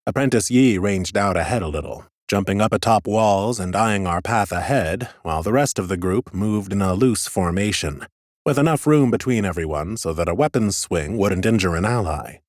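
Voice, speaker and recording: A man reads scripted fantasy fiction as a first-person narrator. His voice is on the low side and recorded close and dry, with a measured storyteller's delivery. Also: no room sound. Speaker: audiobook narrator.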